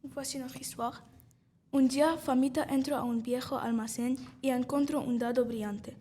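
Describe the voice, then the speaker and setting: A woman's voice: high-pitched, steady tone, a little fast, warm tone, slight background noise. A woman reading a short story aloud, carefully and with small restarts.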